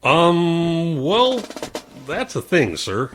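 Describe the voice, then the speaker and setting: A man's voice: a little high, big pitch swings, extremely slow, fairly bright tone, soft background music, heavily acted. An actor playing a dutiful army private reporting respectfully to his superior in an audio drama. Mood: hesitant.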